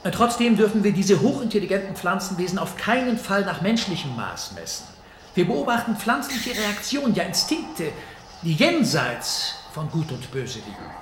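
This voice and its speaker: An actor playing an earnest, fascinated ship's scientist who explains things in a pondering, expressive way in a science-fiction radio drama. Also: male voice, high voice, moderate pace. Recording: echoey room with clear background noise.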